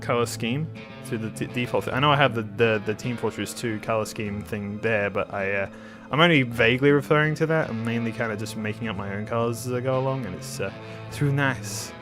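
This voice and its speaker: A man's voice: mid-range voice, fairly quick, hardly any pauses, music in the background. A man hosting his art channel in casual talk over a speed drawing, a bit nasal and under the weather, rambling with many restarts. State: slightly sick.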